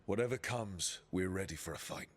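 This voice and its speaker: A man's voice: deep voice, normal speed. A man playing a resolute, plain-spoken heroic leader in a video game. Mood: wary, firm. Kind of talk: video game dramatic acting. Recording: game music under the voice.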